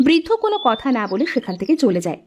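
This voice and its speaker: A woman's voice: on the high side, big pitch swings, fast, a little mellow, a little hiss or hum. A woman reading scripted narration for a children's storybook cartoon.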